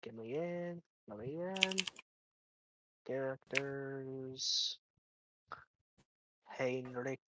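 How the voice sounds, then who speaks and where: A man's voice: mid-range voice, fairly even intonation, heavy background noise. A young man playing in a tabletop game over voice chat, bantering and arguing with the game master. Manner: bantering, pushy, quick to argue. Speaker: player. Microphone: online voice chat mic.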